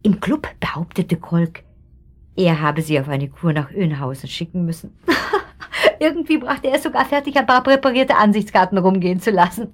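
Snide, husky-voiced society gossip. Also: female voice, medium pitch, very animated, normal speed. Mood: amused, scornful. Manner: insinuating, pointed, with trailing asides. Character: gossipy, snide upper-class pharmacist's wife, malicious amusement. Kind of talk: German radio drama acting.

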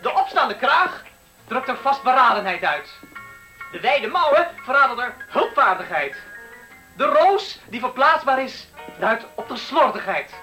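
A young male dressmaker in a seventies radio drama. His voice is high, earnest and stagey, over faint music. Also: sing-song, measured pace, sharp tone, full character voice, low-quality recording. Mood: declaiming. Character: young male dressmaker, meek but catty critic. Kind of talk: 1970s Dutch radio play acting.

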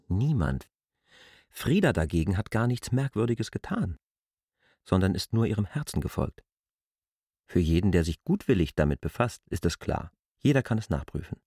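An actor playing a proud, defensive land surveyor in a German literary radio drama, arguing deliberately in formal phrasing. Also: male voice, on the low side, a little mellow, husky, no echo, studio quality, full character voice. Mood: insistent.

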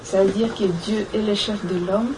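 Soft-spoken woman from Congo. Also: mid-range voice, steady tone, normal speed, noisy background. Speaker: study participant. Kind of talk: casual group discussion, reading aloud.

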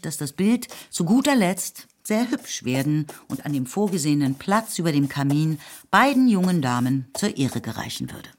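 A woman giving scripted literary narration as the narrator of a radio drama. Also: low voice. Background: faint music.

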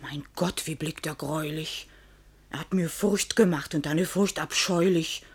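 An actress playing a frightened but outspoken maidservant in a radio verse comedy, melodramatic and exclamatory. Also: female voice, deep voice, steady tone, unhurried, noisy background. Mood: shaken, indignant.

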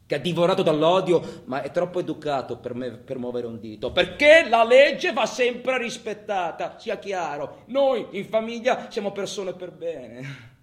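An actor playing an agitated, foul-mouthed robber in an intense, colloquial theatrical monologue that swings from hushed to shouting. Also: male voice, sits high, big pitch swings, a little slow. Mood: bitter confession. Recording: roomy echo, faint background noise.

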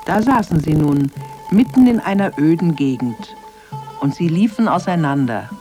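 A man's voice: fairly high pitch, expressive, normal speed. A man narrating a scripted children's radio tale, addressing young listeners directly like a storyteller. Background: music.

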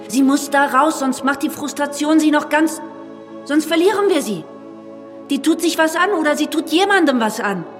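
An anxious pleading woman in a radio drama, high voice, big echoey hall, insistent. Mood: urgent, anxious. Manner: insistent, emotional. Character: anxious, urgent woman pleading for her friend. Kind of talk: German radio play dialogue. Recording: long reverberant hall, music behind.

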